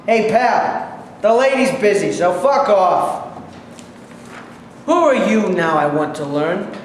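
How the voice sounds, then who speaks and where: A man's voice: high-pitched, expressive, slow. A man acting in a staged play reading as a belligerent, jealous tough guy, taunting and confrontational. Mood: menacing, taunting. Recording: very echoey hall, faint background noise.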